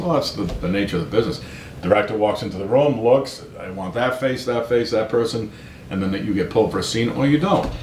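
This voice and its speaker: Low-voiced middle aged american guy telling stories in an interview, chatty, echoey room w some rumble. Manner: self-deprecating, chatty, peppers talk with 'right?' and 'you know'. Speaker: author interviewed as guest. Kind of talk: casual anecdotal storytelling in an interview. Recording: roomy echo, low rumbling background noise.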